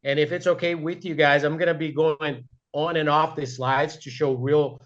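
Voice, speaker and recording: A man's voice: on the high side, little pitch movement, normal speed, highs cut off. A man presenting as a guest on a video call, passionate and self-deprecating, with frequent uh fillers.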